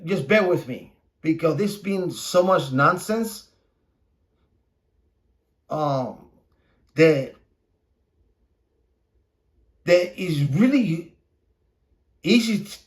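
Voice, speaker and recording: Male podcast host, native Spanish speaker. Slowish, halting delivery, slightly high pitch, blunt and profane off-the-cuff ranting. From Puerto Rico. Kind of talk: opinionated casual monologue, rant.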